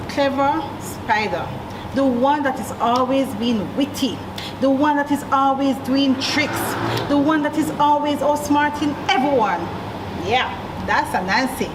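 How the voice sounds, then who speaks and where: A woman with a Jamaican accent reading a story aloud to children, with deliberate emphasis and questions to young listeners. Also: high-pitched. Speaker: library storyteller. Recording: echoey room, distant mic, clear background noise.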